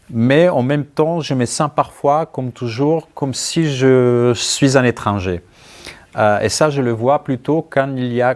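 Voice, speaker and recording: Male author with Greek accent, medium pitch, thoughtful and halting interview delivery. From Greece. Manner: halting, searching for words, thoughtful. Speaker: writer being interviewed. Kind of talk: conversational answers at a book talk.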